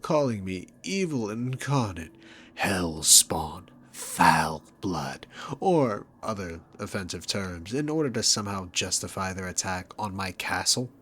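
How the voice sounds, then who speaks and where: A low-pitched demon king played by a man speaks in a slow, theatrical drawl. He is a dominant villain in an ASMR roleplay, mocking and condescending as he toys with his prey. Faint noise sits behind the voice. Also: lively intonation, a bit bright. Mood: smug, mocking. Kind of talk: ASMR roleplay acting, addressed to the listener.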